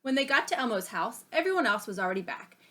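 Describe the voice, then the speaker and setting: Bright, animated woman reading a children's story. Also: on the high side, fast talker. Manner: expressive, acts out dialogue between plain narration. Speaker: storybook reader. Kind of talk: animated children's read-aloud.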